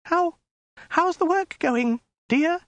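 A man voicing a terrified captive woman in a shrill, halting, wailing falsetto for a comic story. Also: lively intonation, gravelly, fairly bright tone, no room sound, exaggerated voice. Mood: miserable yelp.

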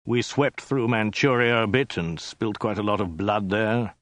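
A man's voice: fairly low pitch, measured pace, fairly bright tone, dry recording. A man voicing a world-weary Mongol emperor who talks in vague, sighing, domestic understatement. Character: world-weary, absent-minded, battle-worn male Mongol emperor.

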